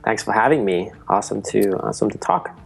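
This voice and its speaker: A man, a guest in a podcast interview, explaining things in a steady way. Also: fairly low pitch, fairly quick, vocal fry, slightly rough. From Finland. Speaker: guest, mushroom food entrepreneur. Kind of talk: casual interview talk.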